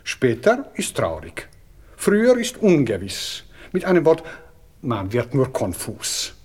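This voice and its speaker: An aristocratic Viennese cavalry officer for radio drama. His voice is rough-edged and swoops up and down as he rambles. He is courteous, hesitant and world-weary, forever philosophizing in an affected way. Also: mid-range voice, huge pitch swings, takes it slowly, a little mellow, full character voice. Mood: blasé, philosophizing. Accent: Viennese Austrian. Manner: hesitant, polite, rambling, blasé. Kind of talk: radio play acting.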